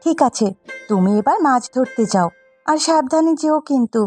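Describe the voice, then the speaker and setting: A woman voicing a caring, gently nagging village housewife in a cartoon story, coaxing and insistent. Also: high voice, lively intonation, moderate pace, breathy edge, soft background music. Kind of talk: Bengali cartoon story dialogue.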